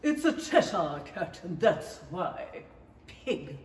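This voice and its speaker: A woman's voice: on the low side, big pitch swings, slow. A woman playing a rude, harsh, cackling duchess with brash, theatrical delivery in a radio play. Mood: snappish. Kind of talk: 1930s radio play acting. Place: small indoor theatre stage, camera at a distance. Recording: echoey hall from far off, noisy.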